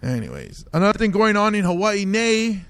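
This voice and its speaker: A man's voice: high-pitched, huge pitch swings, a little fast, fairly bright tone, smooth, no echo. A man from Samoa hosting a casual comedy podcast, riffing on the news and laughing at his own bits. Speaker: host, stand-up comedian.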